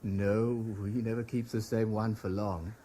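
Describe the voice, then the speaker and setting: An actor in a radio drama playing a polite, discreet French hotel receptionist, husky and formally courteous. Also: male voice, fairly low pitch, steady tone, a little slow, warm tone, a little hiss or hum, close to an everyday voice. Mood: discreet, obliging. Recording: 1970s radio drama broadcast.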